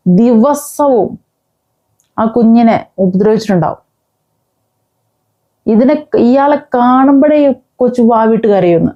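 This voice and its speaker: A woman vlogger, giving angry, scolding commentary with many pauses and restarts. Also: on the high side, dull audio. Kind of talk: angry commentary on a news case.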